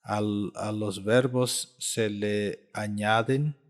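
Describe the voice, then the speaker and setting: Slow, repetitive male language teacher. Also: lowish voice, steady tone. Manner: repeats each phrase slowly several times. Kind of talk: slow spoken language lesson.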